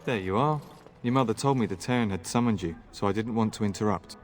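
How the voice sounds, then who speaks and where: An actor playing an earnest, eager and courteous young knight in a fantasy video game. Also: male voice, on the low side, fairly quick, soft background music, lightly acted. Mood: polite, wry. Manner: polite, boyishly earnest.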